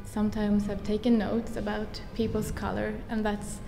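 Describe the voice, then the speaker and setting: A woman's voice: medium pitch, little pitch movement, normal speed, vocal fry, music in the background. An eighteen-year-old woman from Sweden with a Swedish accent, speaking evenly and matter-of-factly as an exchange student. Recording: echoey reverberant room.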